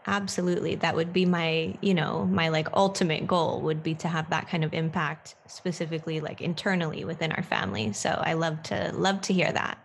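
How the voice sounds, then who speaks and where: A woman's voice: deep voice, steady tone, a little fast, creaky, hardly any pauses, slight background noise. A woman co-hosting a podcast in casual, informal chat. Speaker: podcast co-host.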